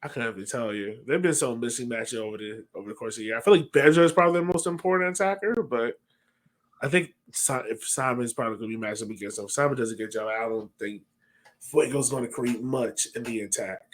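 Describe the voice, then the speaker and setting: An American man in his thirties hosts a casual sports podcast from a room at home. He has an easygoing, conversational way of talking and a mid-pitched voice. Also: fast talker. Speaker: podcast host. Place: home room, indoors, on a video call.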